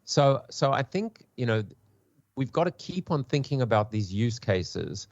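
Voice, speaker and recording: A man's voice: mid-range voice, very animated, measured pace, darkish tone, no room sound, muffled recording. A man with a South African accent, a guest on a podcast panel, talking in a hesitant way with many restarts. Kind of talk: casual podcast discussion.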